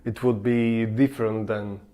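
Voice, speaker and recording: A man's voice: lowish voice, little pitch movement, unhurried, mellow. Hesitant, giggly man. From Latvia. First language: Russian. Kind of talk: casual chat.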